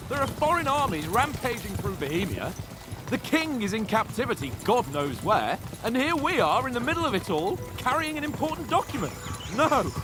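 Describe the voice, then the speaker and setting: An actor voicing a haughty, high-spirited young nobleman in a video game, with a flippant, teasing manner. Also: background noise. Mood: confident, jaunty.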